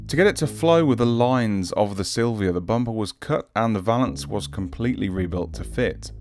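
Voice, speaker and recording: A man's voice: medium pitch, darkish tone. A man giving a scripted voice-over as the host of a hobby showcase. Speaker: host of a scale-model showcase series. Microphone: handheld audio recorder. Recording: music under the voice. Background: guitar music.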